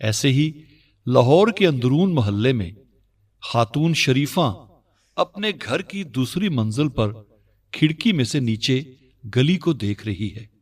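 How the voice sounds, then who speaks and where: Man, radio drama narrator, formal scripted delivery, medium pitch, somewhat off-mic. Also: sing-song, unhurried, distant mic.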